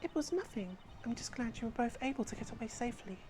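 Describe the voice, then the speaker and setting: A gentle young woman from a video game, voiced by a female player. She speaks politely and carefully in a high, warm-toned voice. Faint noise sits behind it. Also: expressive, fast talker, lightly acted. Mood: gentle, relieved. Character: gentle, apologetic, well-mannered young woman in hiding. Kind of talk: fan voice-over of a video game.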